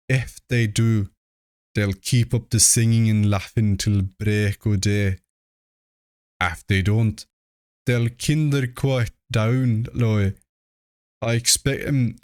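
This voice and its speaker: A man reading a horror story, voicing a dying, superstitious old rustic grandfather in drawn-out country dialect. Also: on the low side, no room sound, clean studio sound. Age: older. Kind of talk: storybook character voice in horror tale.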